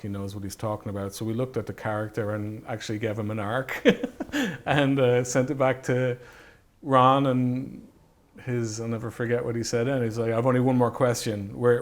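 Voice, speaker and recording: A man reminiscing in a documentary interview as a filmmaker, in a halting, thoughtful way with frequent pauses. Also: fairly low pitch. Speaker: film director and effects artist being interviewed. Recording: steady background noise.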